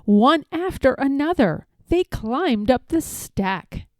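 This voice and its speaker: A woman reads a rhyming children's story aloud as narrator. She takes her time and varies her pitch widely, in a close, dry sound. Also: expressive, takes it slowly, a little mellow, no echo.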